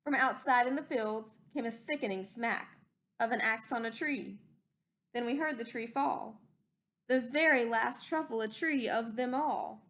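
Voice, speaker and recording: High-voiced woman reading a storybook. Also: a little slow, a bit bright. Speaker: teacher reading aloud. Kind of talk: expressive storybook read-aloud.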